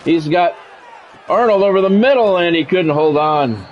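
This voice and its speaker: A man with a slightly high voice commentates a football video game like a play-by-play announcer. He speaks in clipped phrases and drops in dry, blunt asides. The recording is a bit dull, with faint noise behind him. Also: moderate pace, some chatter behind.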